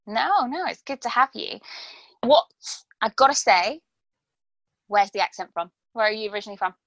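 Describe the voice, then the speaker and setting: A woman's voice: a little high, lively intonation, normal speed, a bit bright, no echo, highs cut off. Woman hosting a chatty, close-miked interview. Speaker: podcast host interviewing a guest. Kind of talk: casual interview chat.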